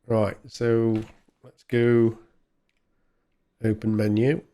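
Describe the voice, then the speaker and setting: A man's voice: on the low side, flat delivery, drawn-out words, warm tone. A man hosting a retro gaming video, talking casually and informally off the cuff in a rambling, chatty way. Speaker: host of a retro gaming channel. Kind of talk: casual off-the-cuff gameplay commentary.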